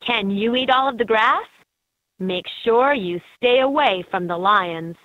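A woman reading scripted educational narration for a children's game in an expressive, instructive way. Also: a little high, unhurried, no echo. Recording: slightly dull, lo-fi game audio.

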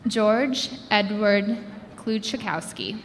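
A woman reading graduates' names one by one at a commencement ceremony, announcing each name with a measured pause through a podium microphone. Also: mid-range voice. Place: large indoor gymnasium. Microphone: podium microphone over a PA system. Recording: long hall reverb with crowd noise. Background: crowd ambience.

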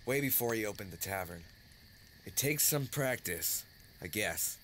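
An actor playing a gruff, protective young villager with a blunt, brusque way of talking, in an audio drama. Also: male voice, on the low side, animated, a little slow, fairly bright tone, a little hiss or hum. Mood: gruff, casual.

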